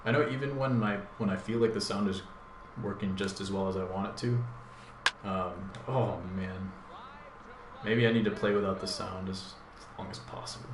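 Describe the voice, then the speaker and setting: Deep-voiced man, echoey room, noisy background. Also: moderate pace. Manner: thinks aloud, laid-back, rambling with fillers. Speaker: gaming channel host. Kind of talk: casual live gameplay commentary.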